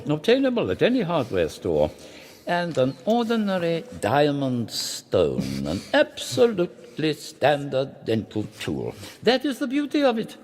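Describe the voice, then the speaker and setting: An older torturer from a radio play, his fairly high voice swooping widely. He is icily polite and pedantic, savouring each pause with quiet menace, over faint noise. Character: cold, courteous, sadistic older male torturer and fugitive villain. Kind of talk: radio drama acting.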